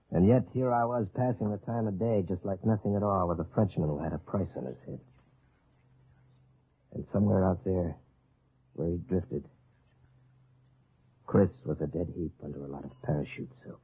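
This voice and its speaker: An actor playing a plain-spoken American army captain in a vintage radio drama, with an American accent. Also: male voice, sits low, husky. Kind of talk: 1940s-50s radio drama acting. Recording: tinny, noisy old radio recording.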